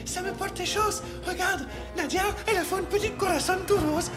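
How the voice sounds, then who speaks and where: A chirpy little cartoon boy with an extremely high voice. He is innocently pleased with himself, over loud music. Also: moderate pace. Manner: chirpy, innocent, sprinkles in Spanish words. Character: cheerful Spanish-speaking schoolboy showing off his cast. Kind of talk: French animated comedy acting.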